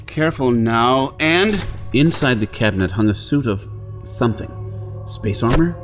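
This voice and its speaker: A male actor plays a hard-pressed outlaw in a pulp science fiction radio drama. He is wary and brusque, with a brooding, dramatic delivery in a medium-pitched voice. Faint music runs underneath. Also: close to an everyday voice. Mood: wary, urgent. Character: brusque, wary hunted outlaw man on Mars. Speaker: narrator and lead actor. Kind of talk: pulp radio drama acting and first-person narration.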